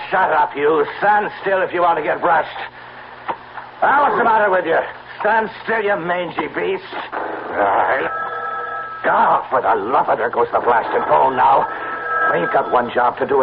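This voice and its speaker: A male actor playing a surly, drunken horse trainer with a gruff grumbling delivery in an old radio drama. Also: fairly high pitch, animated, a little slow. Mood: irritable, grumbling. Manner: gruff, cursing mutter. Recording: muffled old radio, very noisy.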